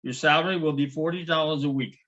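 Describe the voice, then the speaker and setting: A man's voice: a little high, fast talker, fairly bright tone, close to an everyday voice. A man voicing a stern German woman hotel manager who talks in a clipped, vaguely menacing way. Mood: cold, clipped. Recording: dull lo-fi recording.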